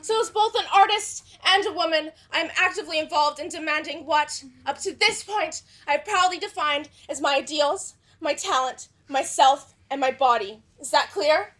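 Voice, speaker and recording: A woman's voice: high-pitched, normal speed, hardly any pauses, fairly bright tone. A young woman in a school stage play, playing an idealistic actress who lectures at length in a theatrical manner. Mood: lecturing.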